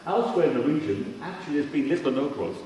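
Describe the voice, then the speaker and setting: A man's voice: a little high, animated, quick pace, dark tone. A man from Cardiff in Wales giving an informal but emphatic keynote presentation with slides, restarting sentences here and there. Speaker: keynote speaker on regional transport. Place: large indoor forum venue. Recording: very echoey hall, distant mic, faint noise.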